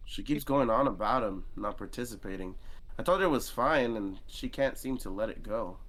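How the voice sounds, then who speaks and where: A man voicing an earnest, guilt-ridden young male animal character in a visual novel, in a halting, dramatic delivery. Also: on the low side, expressive, fairly bright tone, low-quality recording. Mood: wistful, troubled. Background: guitar game music.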